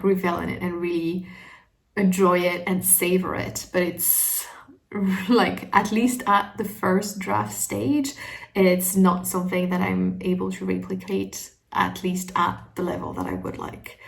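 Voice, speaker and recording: A woman's voice: medium pitch, vocal fry. A woman whose native language is French, talking casually and reflectively to her vlog, hesitant as she searches for words. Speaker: writing vlogger.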